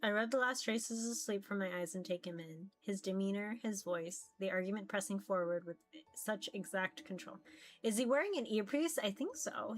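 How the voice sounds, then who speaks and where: A woman streamer reading a visual novel aloud as narration and commenting casually as she plays. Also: medium pitch.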